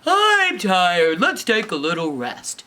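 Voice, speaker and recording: A woman voicing a father bear in a children's story, blustering and puzzled, with drawn-out, pompous emphasis. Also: lowish voice, huge pitch swings, pushed and bright, heavily acted. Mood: commanding, tired. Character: big papa bear, blustering, puzzled head of family.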